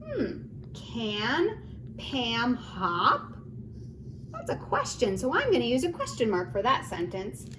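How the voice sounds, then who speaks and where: A woman with a fairly high, very lively voice gives a phonics lesson to young children. She has a sing-song teacher's delivery, sounding words out and putting questions straight to her listeners. The room echoes and there is noticeable noise behind her. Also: slow. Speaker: early reading teacher. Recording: roomy echo, clear background noise.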